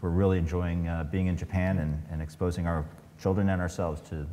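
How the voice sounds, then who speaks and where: A man's voice: low voice, little pitch movement, fairly quick, dark tone. A man speaking on a panel as an astronaut, reflective, often stopping and restarting his sentences. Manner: reflective, many fillers and restarts. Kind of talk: panel talk. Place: large event hall, on stage. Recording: long reverb of a large hall.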